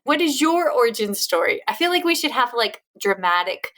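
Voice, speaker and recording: A woman hosting an interview podcast in a casual, enthusiastic way, laughing often and swinging her pitch widely. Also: normal speed. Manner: lively, with big pitch jumps. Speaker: podcast host.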